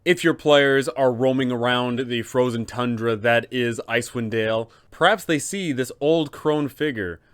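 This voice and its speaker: A man hosting a scripted explainer for game masters, speaking emphatically in deliberate phrases with rhetorical questions. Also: mid-range voice, fairly bright tone, clean tone. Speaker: host, game master advisor. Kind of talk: scripted explainer on running a tabletop campaign.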